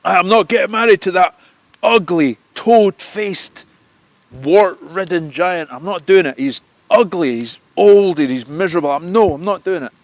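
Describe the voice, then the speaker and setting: A man voicing the young goddess Freya, who refuses to marry a giant in a loud, indignant tirade. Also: high voice, animated, normal speed, no room sound, pitched above the performer's own voice. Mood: outraged.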